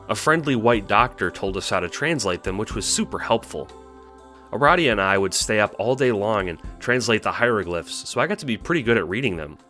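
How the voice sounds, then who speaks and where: A man's voice: fairly low pitch, a bit bright. A man playing a meek, earnest young male troll who talks with a hesitant, apologetic stammer in an audio drama monologue. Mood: earnest, intrigued. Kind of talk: audio drama monologue, recounting adventures as a log.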